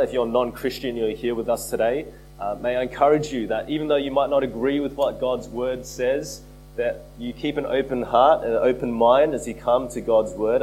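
A man preaching a sermon as pastor, hoarse from a cold, earnest and repeating key phrases for emphasis. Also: medium pitch, darkish tone, a little hiss or hum, rough recording. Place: church.

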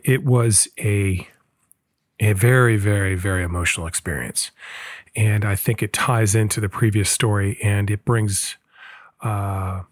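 A man's voice: on the low side, no room sound, studio quality. A man hosting a travel podcast, talking in a thoughtful, meandering way with restarts, then telling a personal story. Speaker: podcast host.

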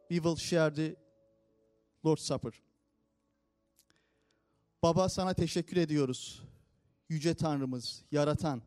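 A man's voice: on the high side, darkish tone. Earnest Turkish-accented man at communion. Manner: earnest, simple phrasing with pauses. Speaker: church speaker leading communion. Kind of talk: communion meditation and prayer. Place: church service.

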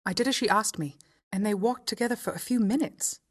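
Woman doing a soft breathy young lady, earnest, spilling the whole story. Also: mid-range voice, fairly quick, voice pushed up, close to an everyday voice. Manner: earnest, confiding. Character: shrewd, timid young woman giving a statement.

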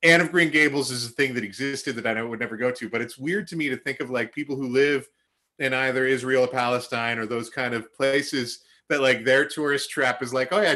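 A man in his thirties from Canada hosts a casual podcast in a bright, forceful voice. He rambles, mocks himself and laughs often. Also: medium pitch. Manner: rambling, self-deprecating, laughs a lot. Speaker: podcast host.

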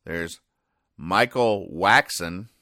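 A man with a deep voice and a bright, forward edge hosts a casual recap show. He rambles and halts in a deadpan way. The sound is close and dry, with hardly any room around it. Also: extremely slow, no room sound. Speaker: podcast host. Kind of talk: casual comedic recap of newspaper comics.

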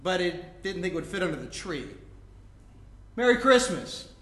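A man acting out a cocky, athletic big brother in a live comedic play reading. His voice sits high for a man, and he talks in mocking, slangy jabs. The room around him is noticeably echoey. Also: sing-song, moderate pace, a bit bright. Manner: slangy, mocking, jock-like delivery. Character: brash, taunting, athletic man of thirty-two, needling younger brother. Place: indoor room before a live audience. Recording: roomy live stage recording.

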